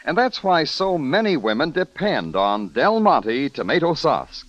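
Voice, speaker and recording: A male radio announcer reads a 1940s-style sponsor pitch. His fairly high voice is very lively, heard on a dry, slightly muffled broadcast. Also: unhurried, no echo. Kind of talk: 1940s radio commercial read.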